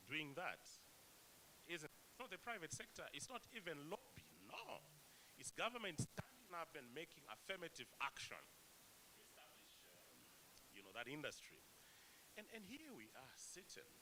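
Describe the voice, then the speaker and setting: A man's voice: on the high side, noisy background. A man from Zambia speaking from the floor at a regional meeting, earnest and emphatic, with many hesitations and rhetorical questions. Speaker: conference delegate. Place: meeting room.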